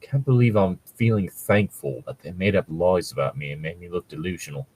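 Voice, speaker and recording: A man's voice: low-pitched, brisk, darkish tone, slightly rough. A man doing casual comedic commentary as a co-host reading fan fiction aloud, sweary, mocking and exasperated. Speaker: podcast co-host.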